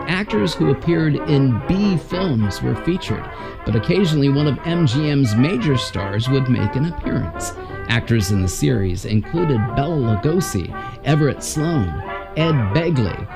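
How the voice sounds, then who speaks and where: A man's voice: mid-range voice, creaky. A man reading a scripted introduction as presenter of a vintage radio program. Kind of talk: scripted narration.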